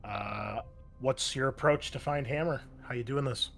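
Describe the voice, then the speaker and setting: A man's voice: mid-range voice, a little slow, a bit bright. A man running a tabletop roleplaying game as game master, conversationally explaining rules and somewhat hesitantly correcting himself. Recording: background music under the voice.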